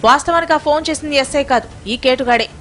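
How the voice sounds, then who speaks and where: A woman's voice: sits high, quick pace, fairly bright tone. A woman reading a scripted news voice-over in a dramatic, emphatic manner. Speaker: news reporter doing voice-over.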